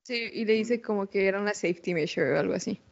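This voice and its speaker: A woman's voice: medium pitch, vocal fry. A woman co-hosting a casual chat, jumping in and quoting characters. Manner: quick interjections, quoting characters. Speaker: podcast co-host. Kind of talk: casual chat recapping a TV episode. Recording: dull lo-fi sound.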